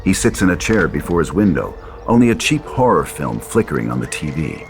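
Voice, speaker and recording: A man with a very deep voice reads horror stage directions as a narrator. His scripted delivery sits over clearly audible music. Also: very animated, brisk. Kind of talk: scripted screenplay narration, horror table read. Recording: loud music under the voice.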